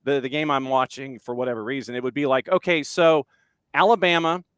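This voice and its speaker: A man's voice: mid-range voice, brisk, fairly bright tone, clean tone, dry recording, studio quality. A man hosting a talk show, asking long, rambling questions in a casual way. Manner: rambling, conversational questions with many restarts. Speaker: radio show host. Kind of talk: casual interview.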